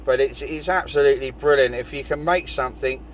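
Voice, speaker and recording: A man's voice: mid-range voice, a little fast, fairly bright tone, poor audio. A man with a British accent talks in a rambling, conversational way, as an amateur radio operator in a casual chat. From United Kingdom. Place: in a parked vehicle outdoors. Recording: clear vehicle and outdoor background noise.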